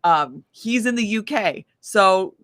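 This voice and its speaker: A woman in her thirties talking casually as an interview guest, animated and gushing, peppering her talk with "like" and "you know". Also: mid-range voice, slow, dry recording. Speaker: interview guest, independent singer-songwriter.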